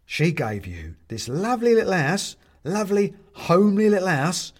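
A man's voice: high-pitched, very animated, normal speed, pitched above the performer's own voice. A man voicing a fussy, chatty male ghost with old-fashioned, polite phrasing, in a storybook reading. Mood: shocked.

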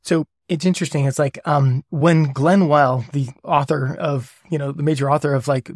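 Higher-voiced man explaining with examples. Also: steady tone, moderate pace, dry recording, clean studio sound. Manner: walks through worked examples, checks in with "right?". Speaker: guest, governance lead. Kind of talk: explanatory interview talk.

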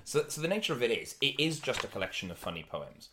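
A man's voice: mid-range voice, very animated, fast talker, pushed and bright, slight background noise. A man with a Welsh accent, a native Welsh speaker, chatting casually and emphatically as a comedian. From Wales. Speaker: comedian, podcast guest.

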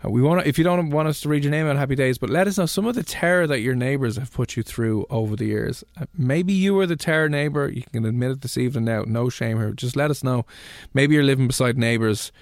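A man's voice: mid-range voice, quick pace, hardly any pauses, no echo. An Irish man co-hosting a radio show, telling rambling, chatty stories in casual studio talk. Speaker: radio co-host.

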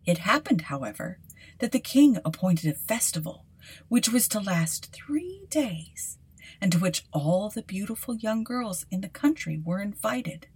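A woman's voice: mid-range voice, darkish tone, slightly rough. A woman podcast host reading a fairy tale aloud as dramatic scripted narration.

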